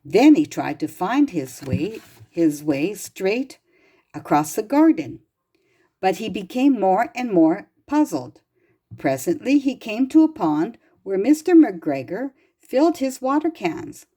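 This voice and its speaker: A woman narrates a children's storybook at a slow, careful pace. Her voice is soft-edged and mellow, with plenty of rise and fall in pitch. She reads expressively and gently, as if to small children. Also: very animated, slightly rough. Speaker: storybook reader.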